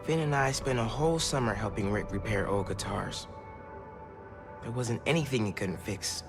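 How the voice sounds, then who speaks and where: An actor playing an earnest young American superhero, thinking aloud in casual, clipped phrases as in a video game. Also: male voice, lowish voice, normal speed, close to an everyday voice. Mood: wistful. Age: young adult. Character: earnest, curious teenage male superhero investigating. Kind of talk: video game voice acting, self-talk while exploring. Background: background music.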